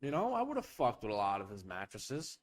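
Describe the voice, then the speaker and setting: A man's voice: on the low side, wildly swooping pitch, normal speed. A young man hosting a comedic podcast, rambling and riffing with profanity and playful bits. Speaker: podcast host.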